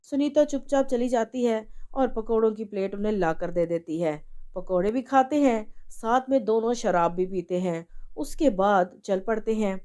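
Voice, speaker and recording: A woman's voice: medium pitch, normal speed, dark tone. A woman narrating a scripted story as the storyteller.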